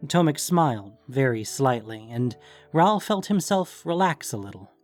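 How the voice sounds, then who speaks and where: A man narrating scripted fiction in a measured, deliberate storytelling manner. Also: medium pitch, big pitch swings, soft background music.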